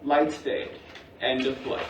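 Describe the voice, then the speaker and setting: Heard from off the mic in an echoey theatre, a man reads stage directions. His voice is medium in pitch and his delivery is scripted narration, over faint noise. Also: level tone, slow, far from the mic.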